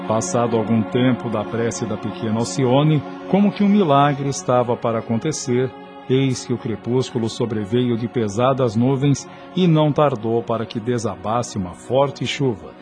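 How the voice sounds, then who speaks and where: A man's voice: mid-range voice, moderate pace, over music. Solemn male radio drama narrator. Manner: solemn, literary storytelling.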